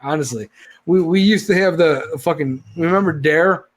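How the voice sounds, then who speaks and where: A man's voice: on the high side, normal speed. A man in his late thirties ranting profanely in a casual live-stream chat as host. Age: 30s. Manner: profane, heated rant. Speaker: podcast host.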